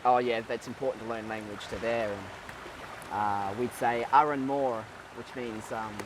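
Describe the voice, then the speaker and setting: A man in his twenties being interviewed, talking in a thoughtful, halting way with many fillers and restarts. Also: medium pitch, fairly even intonation, far from the mic, noisy background. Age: 20s. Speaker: guest. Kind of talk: casual interview.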